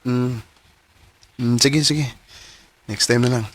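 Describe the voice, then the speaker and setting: An actor playing a gentle, heartsick young boyfriend in a radio drama, speaking softly and hesitantly with a Cebuano Filipino accent. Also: male voice, medium pitch, steady tone, takes it slowly, lightly acted. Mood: resigned. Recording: clearly noisy radio drama track.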